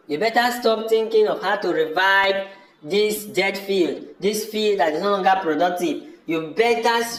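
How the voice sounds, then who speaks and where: A man's voice: sits high, fairly bright tone, reverberant, rough recording. A man in an amateur audio drama playing a brother, speaking in an emphatic and repetitive way. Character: welcoming but disillusioned brother, fed up with farming.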